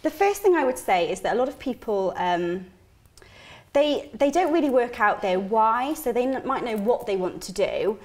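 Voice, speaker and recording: A Welsh woman, a career mentor being interviewed, talking in a chatty, energetic way with emphatic repetitions. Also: on the high side, normal speed. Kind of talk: casual interview chat. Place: TV living room studio.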